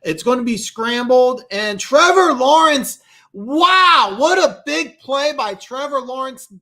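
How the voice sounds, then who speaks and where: A young man doing live play-by-play and reaction for a football game, excitable and prone to fillers, with a sore throat as he starts to lose his voice. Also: slow. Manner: excitable, jumps high and loud on big plays, lots of fillers. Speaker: amateur play-by-play commentator.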